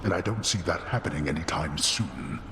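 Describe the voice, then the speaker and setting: Cold, imperious underworld god. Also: male voice, low-pitched, a little fast, sharp tone, reverberant, big-room sound, slight background noise. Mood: stern. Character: stern weary god of the dead, father. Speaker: lord of the underworld.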